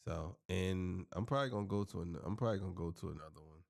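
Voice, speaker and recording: Deep, gravelly man signing off. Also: fast talker, a little mellow. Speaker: podcast host. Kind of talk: casual chat.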